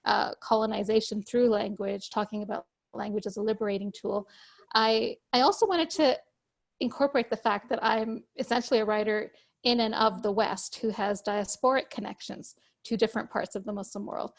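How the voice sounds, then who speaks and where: Gravelly woman author, Urdu first language. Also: mid-range voice, fairly even intonation. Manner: measured, explanatory, occasional restarts. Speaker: guest author. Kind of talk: thoughtful conversational explanation. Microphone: video call.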